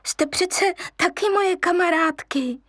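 A small boy lion cub, high and eager, as a woman voices him, wheedling to get his way. A storybook youngster with a pleading, bouncy manner. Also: fairly bright tone, no echo, studio quality. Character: little male lion cub, eager future king.

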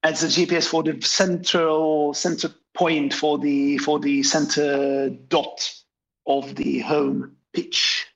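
Guy talking english, gravelly, lots of ums and false starts. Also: a little high, flat delivery, slow pace, poor audio. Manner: hesitant, many fillers and restarts. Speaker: graphic designer, interview guest. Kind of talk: relaxed interview answers.

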